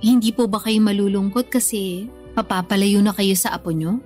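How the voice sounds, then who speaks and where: A woman's voice: medium pitch, steady tone, normal speed, darkish tone, faint music behind, close to an everyday voice. An actress playing a respectful, repentant grown daughter and young mother with a Filipino accent in a radio drama, speaking earnestly and politely. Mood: tentative. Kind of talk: Tagalog radio drama acting.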